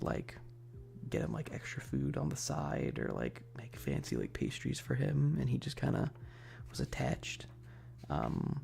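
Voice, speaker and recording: A man with a heavily creaky, gravelly voice and a lively, wandering pitch chats casually on an ASMR stream. He rambles in a hushed tone that often slips toward a whisper. A faint hum sits behind him. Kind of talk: casual ASMR livestream chat.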